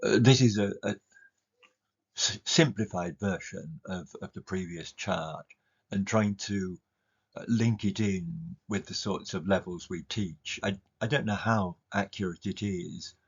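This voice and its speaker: A man presenting slides as a teacher, explaining things in a hesitant, halting way with repeated words. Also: low-pitched, creaky, breathy edge. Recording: slightly dull, lo-fi recording.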